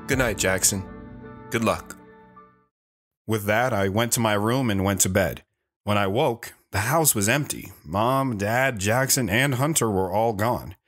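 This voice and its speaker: A man's voice: fairly low pitch, measured pace, a bit bright, soft background music. A man playing an earnest, awkward teenage boy who tells his own story in an audio drama. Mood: plain. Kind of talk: first-person dramatic narration with acted dialogue.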